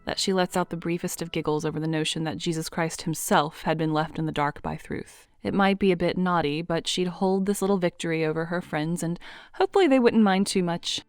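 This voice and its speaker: A woman narrating an audio drama in a soft, storybook manner. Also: fairly low pitch, fairly quick, clean tone, no room sound, clean studio sound, soft background music.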